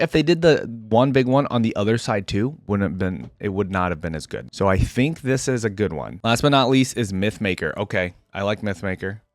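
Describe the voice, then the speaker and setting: Man, casual reaction commentary, very animated intonation, informal, thinking aloud, nitpicky, dry close sound. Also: mid-range voice, no room sound. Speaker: video creator reacting to game content.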